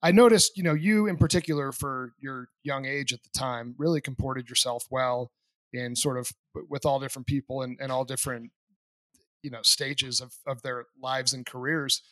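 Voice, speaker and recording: A man's voice: medium pitch, fairly even intonation, normal speed, fairly bright tone, dry recording, rough recording. A man hosting a podcast interview, asking rambling, chatty questions. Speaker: podcast host. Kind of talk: casual interview.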